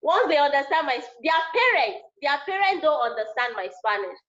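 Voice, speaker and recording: A high-pitched woman with a Nigerian accent, whose first language is Yoruba, chats as a guest speaker to language students. She gets animated and repeats herself to make a point. The sound is dull and lo-fi, like a video call. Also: brisk. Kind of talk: casual explaining to a class.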